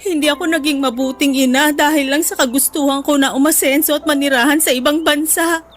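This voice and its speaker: A woman's voice: high-pitched, steady tone, fairly quick, a little hiss or hum, natural voice. A woman playing a remorseful, pleading mother in a Filipino radio drama, crying, with a Filipino accent. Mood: tearful, remorseful. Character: remorseful, weeping middle-aged mother begging forgiveness.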